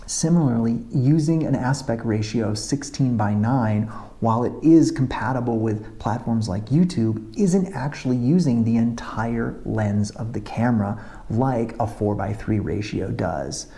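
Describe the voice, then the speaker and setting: A man's voice: medium pitch, measured pace, creaky, mellow. A man in a video tutorial, explaining camera and export settings step by step in a methodical way, filming himself on a tripod camera. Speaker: motovlogger. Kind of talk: technical tutorial talk to camera.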